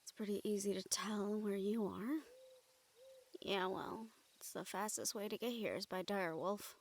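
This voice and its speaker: A woman acting an affectionate young fantasy fighter in an intimate audio roleplay, speaking softly with tender, playful warmth and an undertow of grief. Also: medium pitch, unhurried, slight background noise, lightly acted. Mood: wistful, fond. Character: affectionate young woman, supernatural fighter, grieving yet teasing.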